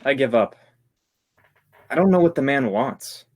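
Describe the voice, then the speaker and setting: A man's voice: medium pitch, animated, a little slow, a little mellow, husky, faint music behind. A man acting in an old-time radio drama as a hapless, grumbling actor, stilted when he plays a murderer.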